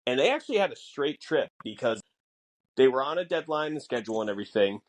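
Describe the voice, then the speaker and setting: Mid-pitched man explaining things haltingly. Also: sing-song, rough recording. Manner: halting, pieces thoughts together with pauses. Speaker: podcast co-host. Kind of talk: casual explanation.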